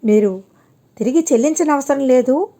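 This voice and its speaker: A humble, open-handed older family man from a moral storybook , voiced by a woman. He speaks kindly and reassuringly, in a high, softly mellow voice. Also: expressive, normal speed. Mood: generous, reassuring. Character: generous, humble older family patriarch, newly reformed.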